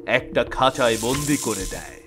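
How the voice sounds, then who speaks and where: A man's voice: medium pitch, lively intonation, moderate pace, music in the background. A man giving scripted fairy-tale narration for a children's story.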